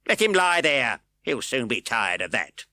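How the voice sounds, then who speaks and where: A man's voice: mid-range voice, sing-song, measured pace, no room sound, pitched above the performer's own voice, heavily acted. A man reading aloud and voicing a harsh, sneering male magistrate who barks orders and insults. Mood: barking, scornful. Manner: barking, insulting, shouting orders.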